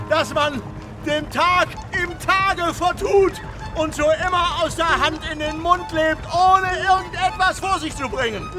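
A man playing an excitable old poet-statesman who rants grandly in a radio play. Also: very high-pitched.

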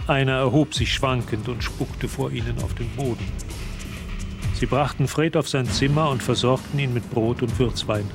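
Man as measured mystery storyteller over music. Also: mid-range voice, fairly even intonation, creaky, lightly acted. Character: sober male storyteller of a dark mystery. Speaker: narrator. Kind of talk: scripted radio drama narration. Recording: music under the voice.

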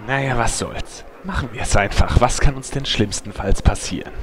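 A man's voice: medium pitch, normal speed, lightly acted. A man playing a crude, grumbling, reluctant adventurer in a fantasy parody radio play, with noise behind him. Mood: resigned.

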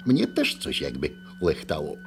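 An actor playing a furtive, bewildered man in a radio drama, speaking haltingly and cautiously in a mutter. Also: a bass voice, huge pitch swings, a little hiss or hum, exaggerated voice. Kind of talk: theatrical radio drama acting. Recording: 1980 radio play with music behind.

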